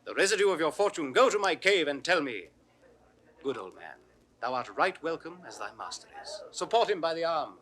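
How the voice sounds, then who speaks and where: A kindly, noble duke with a British accent, speaking with lively, sweeping intonation and a bright edge. The delivery is stately and gracious, classical verse as in a 1960s recorded play. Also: male voice, fairly high pitch, fairly quick. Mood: gracious, welcoming. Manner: stately, declamatory. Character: gracious, kindly exiled duke welcoming guests. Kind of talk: classical Shakespearean stage acting. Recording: 1960s studio audio drama recording.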